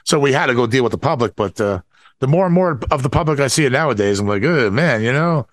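A man co-hosting a casual political commentary chat, speaking haltingly and repeating words as he goes. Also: mid-range voice, lively intonation, no room sound. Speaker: talk show co-host.

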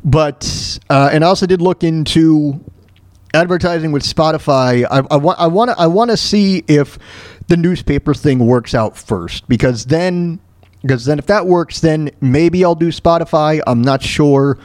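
A man's voice: fairly high pitch, moderate pace, darkish tone, no echo, studio quality. A man hosting a solo podcast in a ranting style, speaking bluntly and emphatically and often repeating his phrases. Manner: blunt, profane. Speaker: podcast host.

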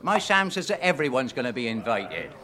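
A man's voice: medium pitch, very animated, a little fast, sharp tone. An older man with a rural English accent playing a gossipy, loyal hobbit gardener in a radio drama, telling his news in a folksy, emphatic way. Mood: gossipy, pleased.

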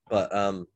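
A man's voice: fairly bright tone, no echo, studio quality. A man hosting a podcast, a bit congested from illness, telling rambling self-deprecating stories in a casual chat. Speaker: podcast host.

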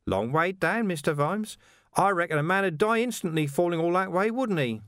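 A man's voice: sits high, animated, moderate pace, a bit bright, dry recording, studio quality, voice pushed up. A man voicing a chirpy, menacing male criminal who taunts and mocks in a light, sly tone. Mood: taunting. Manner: taunting, mocking. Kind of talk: audiobook character voice.

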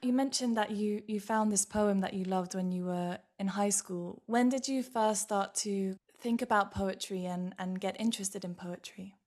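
A woman hosts an interview and asks her guest questions. She speaks softly and sounds encouraging, and her voice sits at an ordinary middle pitch for a woman. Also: normal speed, few pauses. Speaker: podcast host. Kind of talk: interview conversation.

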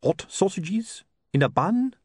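A man's voice: a little high, a little fast, dry recording, studio quality, pitched above the performer's own voice, close to an everyday voice. A man voicing a gloomy, hapless male street sausage seller with a hopeless, mournful voice.

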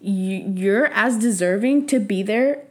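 A woman's voice: medium pitch, unhurried. Chatty young woman with Spanish roots. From Mexico. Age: young adult. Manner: chatty, laughing, many fillers. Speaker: podcast guest. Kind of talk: casual chat.

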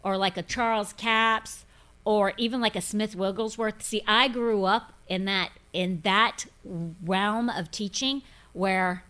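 A woman's voice: medium pitch, steady tone, slow pace, fairly bright tone. A woman in her fifties, a pastor hosting a casual faith podcast, talking in a forthright, emphatic, preachy way. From grew up in Pentecostal churches. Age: 50s.

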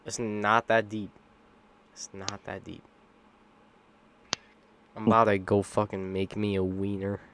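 A young man chatting casually on a podcast while high, rambling and teasing his friends. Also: monotone, a little slow, pauses often, fairly bright tone, a little hiss or hum. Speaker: podcast co-host. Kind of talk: casual chat.

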